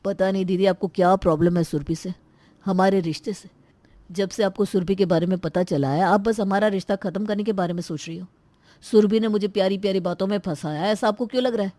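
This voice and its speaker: A woman's voice: mid-range voice, steady tone, a little fast, warm tone, no room sound, close to an everyday voice. A woman playing an earnest young adult in a cartoon moral story, speaking in a protesting, defensive manner.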